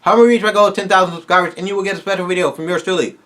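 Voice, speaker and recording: A man's voice: high voice, steady tone, very fast, a bit bright. A man hosting his own video channel, wrapping up a casual Q&A in a tired, strained voice as he rambles eagerly to his viewers. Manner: rambling, eager fan talk with restarts. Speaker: YouTuber host.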